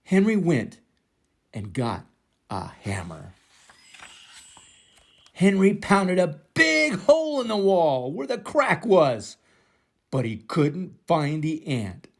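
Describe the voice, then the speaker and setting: Older man, children's storybook read-aloud, slow pace, animated pitch, dramatic emphasis. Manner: dramatic, deliberate, drawn-out emphasis. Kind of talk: expressive children's picture-book read-aloud.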